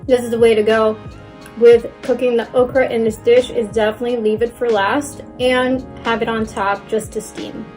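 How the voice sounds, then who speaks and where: A woman's voice: on the high side, level tone, normal speed, darkish tone, soft background music. A young Filipino-American woman, a native Tagalog speaker, cheerfully talking viewers through a cooking demonstration step by step. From Philippines. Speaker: cooking channel host.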